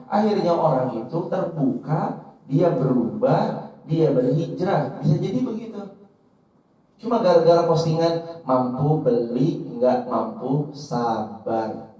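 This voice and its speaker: Mellow-voiced male preacher in echoey room. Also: on the high side. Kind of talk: sermon to an audience, quoting and translating Arabic scripture. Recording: roomy echo, distant mic, slightly dull.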